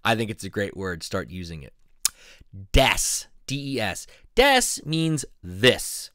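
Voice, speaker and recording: A man's voice: on the low side, slow pace, no room sound. Playful guy teaching words on his channel, voice jumps all over, dry close mic. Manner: animated, playful, laughs often. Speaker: YouTube language teacher. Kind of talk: casual vlog-style lesson.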